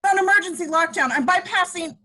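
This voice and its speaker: A woman's voice: extremely high pitch, brisk, pushed and bright. A woman acting a frantic, strained control-room technician under siege in an amateur screenplay table read. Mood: frantic urgency. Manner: urgent, strained.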